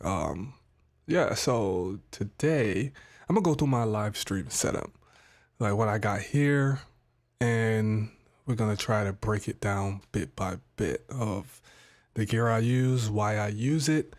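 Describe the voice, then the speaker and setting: A man hosting a casual live stream about his streaming gear, sounding a little nasal from a cold, chatty and relaxed, pausing now and then to handle equipment. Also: fairly low pitch. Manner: laid-back, chatty, pauses to fiddle with gear. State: has a cold, a bit nasal. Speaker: tech streamer. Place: home streaming setup, indoors. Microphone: studio mic on desk.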